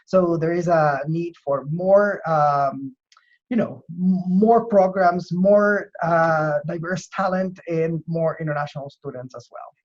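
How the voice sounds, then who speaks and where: A man from Chile with a Chilean accent explains his work in an interview, in measured, hesitant phrases with repeated words. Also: a little high, steady tone, a little mellow. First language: Spanish. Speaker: university administrator being interviewed. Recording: dull, lo-fi call audio.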